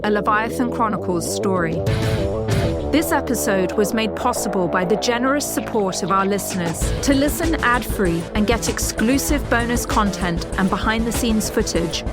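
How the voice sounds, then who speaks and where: A woman reading the closing announcement and credits of an audio drama in a clear, announcing way. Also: mid-range voice, moderate pace. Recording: voice over loud music.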